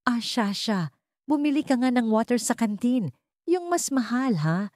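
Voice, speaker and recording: A woman's voice: a little high, animated, a little slow, darkish tone, dry recording, studio quality, voice pushed up. A woman voicing a haughty rich college girl, bossy and mocking, in a dramatic audio story.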